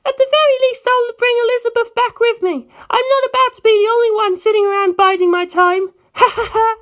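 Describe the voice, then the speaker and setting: A woman's voice: extremely high pitch, steady tone, fairly quick, fairly bright tone, voice pushed up. A woman voicing a determined young woman who is emotional and grieving, in an audiobook-style reading of a story. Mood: tearful. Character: tearful, determined, excitable young English woman, grieving a loss.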